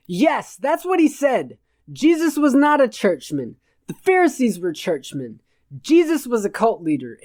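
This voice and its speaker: A woman's voice: medium pitch, darkish tone, dry recording, lightly acted. Storybook rogue preacher, mischievous and irreverent, grandly declaiming mock sermons, acted by a woman, in a dry recording. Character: irreverent, roguish cult preacher and jester Puck.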